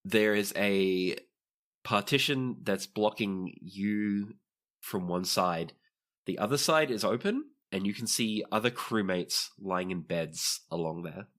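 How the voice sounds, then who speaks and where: Male game master, low-pitched, for narrating tabletop roleplaying scenes. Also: takes it slowly, a bit bright.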